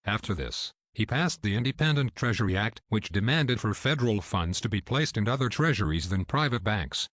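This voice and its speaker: A man's voice: fairly low pitch, expressive, brisk, a bit bright, no echo, clean studio sound. A man reading scripted educational history narration as the narrator.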